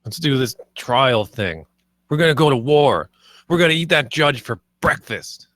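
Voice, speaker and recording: A man's voice: mid-range voice, lively intonation, normal speed, a bit bright, no room sound, natural voice. Man reading as a brash, cocky guy. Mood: defiant. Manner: brash, slangy. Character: cocky, crude young man awaiting murder trial. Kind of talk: table read of a comedy script.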